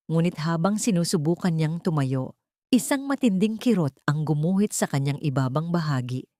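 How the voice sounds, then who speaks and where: A woman with a slightly low voice narrates a scripted drama. Her delivery is that of a dramatic storyteller, recorded close and dry. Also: warm tone, dry recording.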